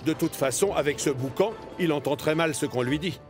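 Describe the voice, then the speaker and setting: A man narrating a children's cartoon as an expressive storyteller. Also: fairly high pitch, brisk, music in the background.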